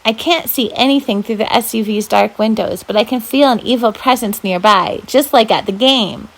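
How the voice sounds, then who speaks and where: A woman reading aloud as a volunteer storyteller, with an expressive, dramatic delivery. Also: fairly high pitch, normal speed. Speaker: volunteer children's book reader.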